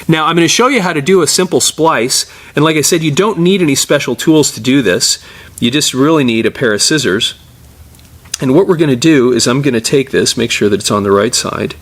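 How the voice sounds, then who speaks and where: A man's voice: medium pitch, animated, fairly quick, a bit bright. A middle-aged man presenting a casual hands-on tutorial as a repair technician, explaining informally step by step while he works.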